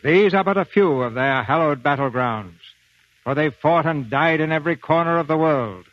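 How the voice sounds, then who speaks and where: American radio host, slightly high voice. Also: male voice, lo-fi sound. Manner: measured, polished announcer delivery. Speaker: radio theater host and narrator. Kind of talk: formal 1940s scripted radio narration. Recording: dry, band-limited 1940s radio broadcast.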